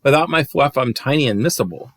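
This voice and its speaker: A man's voice: mid-range voice, animated, a little fast, a bit bright, no echo, studio quality. A man voicing a grumpy, puffed-up male buffalo from a children's picture book, reading the lines in a blustery, defiant way. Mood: sorry.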